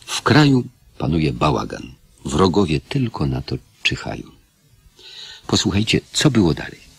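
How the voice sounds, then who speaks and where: A man's voice: low-pitched, wildly swooping pitch, a little slow, creaky, breathy edge, no room sound. A man narrating a radio play introduction in a dramatic storytelling style.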